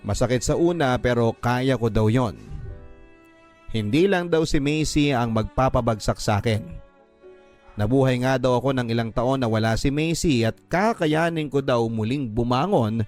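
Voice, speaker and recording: A man's voice: medium pitch, normal speed, a little mellow. A man narrating a first-person story in a sentimental, dramatic radio drama style. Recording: music bed behind the voice. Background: music.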